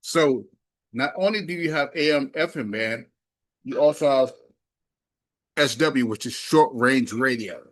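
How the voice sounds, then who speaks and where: A man's voice: mid-range voice, unhurried, pauses often, dry recording. A man reviewing a gadget casually and hands-on, talking himself through each step in a folksy, emphatic way. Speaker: product reviewer.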